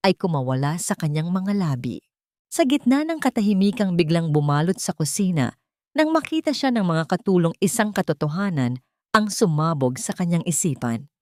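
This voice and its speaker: A woman narrating a dramatic scripted story with deliberate, theatrical phrasing. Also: fairly low pitch, dry recording, clean studio sound.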